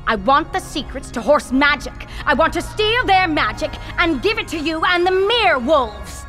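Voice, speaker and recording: An actress playing a power-hungry, scheming sorceress in an animated fantasy film, imperious and covetous. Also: female voice, high voice, normal speed. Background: film music.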